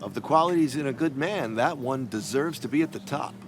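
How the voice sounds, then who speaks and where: A man acting a dry, composed video game figure at a wake. His medium-pitched voice is formal and measured, with a wry edge. Ambient noise is clearly heard behind him. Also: close to an everyday voice. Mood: solemn, wry.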